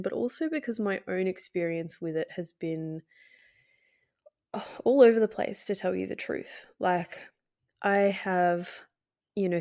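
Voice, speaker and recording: A woman with a cold, sounding hoarse and nasal, hosting her podcast in a candid, hesitant way with many fillers and soft laughs. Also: on the low side. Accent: Australian. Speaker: podcast host. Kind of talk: casual solo podcast talk.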